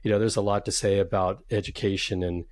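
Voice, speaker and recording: A man hosting a startup podcast, asking his guest questions in a measured, friendly way. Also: lowish voice, level tone, a little mellow. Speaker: podcast host. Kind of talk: podcast interview.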